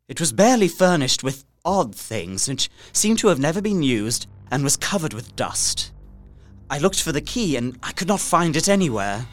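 Gothic audio drama: male actor as desperate young Victorian gentleman, mid-pitched, deliberate and emotive journal narration, music underneath. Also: lightly acted. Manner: intense, deliberate, emotive delivery with formal diction.

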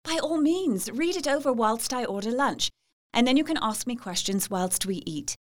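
Casting: woman for devoted young Victorian wife and diarist in gothic audio drama; lightly high pitch, polite, sincere, formal diction. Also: animated, moderate pace, close to an everyday voice. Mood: polite, modest. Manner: proper, formal, heartfelt. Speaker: actress narrating and playing dialogue.

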